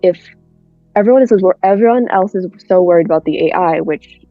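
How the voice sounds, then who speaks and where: A woman's voice: fairly low pitch, fairly quick, no echo, soft background music. A woman with a mid-range voice talks casually and a bit hesitantly as a panel guest. The recording is dull and dry, like a lo-fi voice chat. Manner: hesitant, filled with "like".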